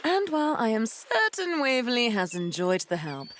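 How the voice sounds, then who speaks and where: A woman's voice: fairly high pitch, very animated, moderate pace, fairly bright tone, a little hiss or hum. A woman playing a stern, commanding pirate captain with a formal, firm manner, in an audio adventure drama.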